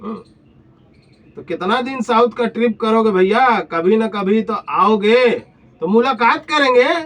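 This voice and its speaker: Very high male voice, eastern Indian Hindi accent, confrontational call-out, taunting questions, distant off-mic recording. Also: measured pace, fairly bright tone. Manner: taunting, insulting, uses rhetorical questions. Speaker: vlogger.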